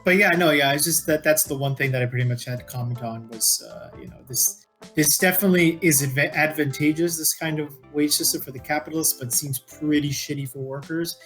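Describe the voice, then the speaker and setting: A man's voice: fairly high pitch, brisk, fairly bright tone, faint music behind. A man co-hosting a podcast in casual chat, informal and mildly profane, often checking in with rhetorical questions. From Florida, United States. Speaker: podcast co-host.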